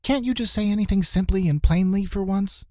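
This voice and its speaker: A man's voice: sits high, a little fast, warm tone, dry recording, studio quality, pitched above the performer's own voice, natural voice. A man voicing a determined, easily irritated young heroine in a children's storybook, speaking impatiently. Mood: annoyed.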